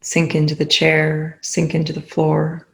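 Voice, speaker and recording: A meditation guide leading a session in a soothing, unhurried manner with long pauses between phrases. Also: medium pitch, monotone, vocal fry. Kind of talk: guided meditation, spoken slowly.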